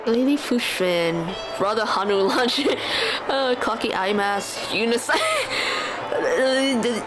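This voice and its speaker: A woman's voice: on the high side, big pitch swings, slow, loud music behind the voice, rough recording. A woman doing casual playthrough commentary, reading game choices and thinking aloud in a hesitant way. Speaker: game streamer reading and reacting.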